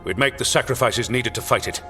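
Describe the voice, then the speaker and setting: Man playing a stern commander, sharp and forceful. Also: medium pitch, brisk, lightly acted. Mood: commanding. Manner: commanding, clipped, condescending. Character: stern, imperious male military commander, scornful and unyielding. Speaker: video game voice actor. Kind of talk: dramatic video game cutscene acting. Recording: reverberant hall, faint music behind.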